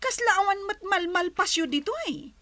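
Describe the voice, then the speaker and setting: A curious young woman in a radio play, super high and bright, slow and drawn out. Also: expressive, no room sound, exaggerated voice. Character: young woman visiting a resort, curious, decisive.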